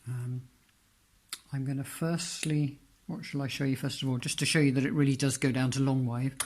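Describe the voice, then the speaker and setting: A man presenting his homemade radio gear in an informal demonstration, speaking in hesitant, piecemeal phrases with frequent pauses, indoors in a flat. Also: mid-range voice, slight background noise. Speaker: amateur radio hobbyist presenting own homemade antenna. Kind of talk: informal product demonstration vlog.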